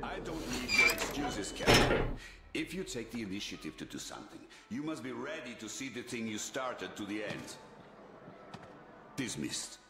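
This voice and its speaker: An actor playing a stern, middle-aged military commander and proud father in a video game, speaking with measured authority. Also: fairly low pitch, big pitch swings, heavy background noise. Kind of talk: video game dramatic acting.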